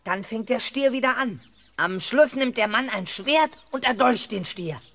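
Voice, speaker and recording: A man's voice: very high voice, animated, measured pace, gravelly, a bit bright, a little hiss or hum, exaggerated voice. An actor playing a boastful, eager little boy who announces grandly and bossily in a 1970s children's radio play. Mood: showy, boastful.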